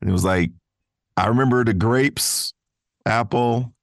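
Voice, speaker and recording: A man's voice: mid-range voice, unhurried, creaky, no room sound, clean studio sound. An American man talking casually as a guest on a podcast. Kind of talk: casual chat.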